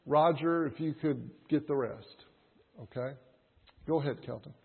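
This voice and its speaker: A man's voice: a little high, measured pace, gravelly, husky. A man leading a Bible study class, calling on readers and prompting the group with questions, often restarting his sentences. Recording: tinny lo-fi sound with hall reverb.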